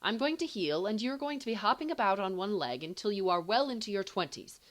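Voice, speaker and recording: Lady playing flustered teen boy, stammery n earnest, tense, pitch jumps around, audiobook. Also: expressive, lightly acted. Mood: irritated. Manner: halting, stammering. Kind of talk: acted audiobook dialogue.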